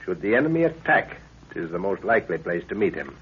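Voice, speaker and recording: Gruff army dad in an old radio play, low husky voice, brisk orders, tinny sound. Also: male voice, big pitch swings, fairly bright tone, exaggerated voice. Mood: commanding. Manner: brisk, authoritative, clipped orders. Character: seasoned middle-aged military commander, gruff but fond father. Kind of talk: 1930s radio drama acting. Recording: tinny, muffled 1930s radio recording.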